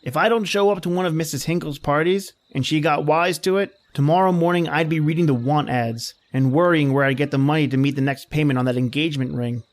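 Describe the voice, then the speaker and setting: A man's voice: on the high side, brisk, hardly any pauses, natural voice. A man playing a grumbling, slangy young fiancé in an old-time radio drama, with a wry, exasperated manner. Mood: romantic, resigned. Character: grumbling wisecracking young fiancé dreading his boss-lady's party. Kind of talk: 1930s radio drama acting.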